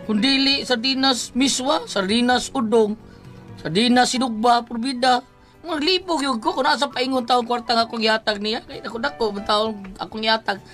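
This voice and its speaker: An actress plays a fed-up, anxious wife in a radio drama, with a Cebuano accent. Her voice is high and bright, plaintive and full of exclamation. Faint music runs underneath. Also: normal speed, lightly acted. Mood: complaining. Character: exasperated, worried wife of a lottery-crazed husband.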